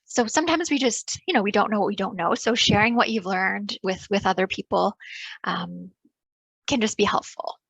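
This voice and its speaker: A woman's voice: mid-range voice, vocal fry, fairly bright tone, husky, no room sound, poor audio. A woman giving a casual webinar talk on public health over a video call, loose and full of fillers, often checking in with "right?". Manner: loose, filler-heavy, ends points with "right?".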